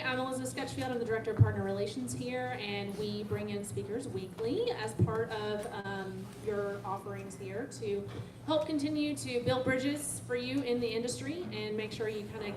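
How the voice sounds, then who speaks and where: A woman giving an informal, upbeat introduction for a guest speaker, as the host of a talk. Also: a little high. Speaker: director of partner relations introducing a guest speaker. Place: lecture room at a tech school. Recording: echoey room, distant mic, background noise.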